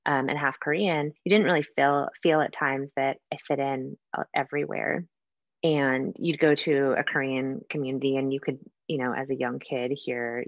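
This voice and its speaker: A woman's voice: extremely low pitch, creaky. An American woman, a podcast guest, sharing personal stories in a candid, reflective, conversational way. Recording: echoey room, dull lo-fi sound.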